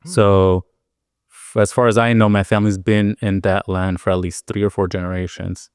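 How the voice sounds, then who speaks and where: A man in his thirties, from the Arizona–Mexico border, who reflects on his past with an unhurried ease. His voice sits a little low and sounds smooth and clear, close and dry against the mic. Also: level tone, no echo. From Arizona-Mexico border, Sonoran Desert. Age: 30s. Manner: easygoing, reflective storytelling with many fillers. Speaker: podcast guest, music producer and DJ. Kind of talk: casual interview chat.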